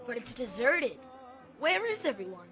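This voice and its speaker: A woman's voice: a little high, sing-song, moderate pace. A woman voicing a curious, eager young boy adventurer in a radio drama. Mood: puzzled. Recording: very loud music behind the voice. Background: loud music.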